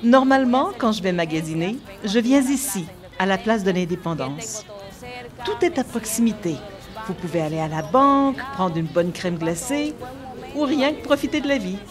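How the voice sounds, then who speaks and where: A young woman from the Dominican Republic presents a travel show as its host. Her mid-pitched voice moves with lively intonation over clearly audible music. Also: normal speed. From Puerto Plata, Dominican Republic. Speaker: host and local guide. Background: background music.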